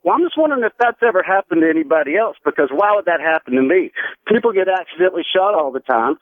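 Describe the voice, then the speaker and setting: A man's voice: high voice, lively intonation, quick pace, no echo. An American man in his forties calling a radio show, earnestly recounting a personal story in a conversational way. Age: 40s. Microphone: telephone. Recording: tinny narrow phone line on radio.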